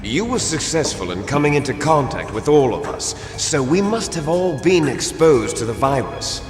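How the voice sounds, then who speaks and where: An actor playing a bitter, gloating villain twin with grand ambitions in a video game, theatrical and taunting. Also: male voice, on the high side, sing-song, normal speed. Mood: smug, explaining. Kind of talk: dramatic video game voice acting. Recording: echoey hall reverb under loud music.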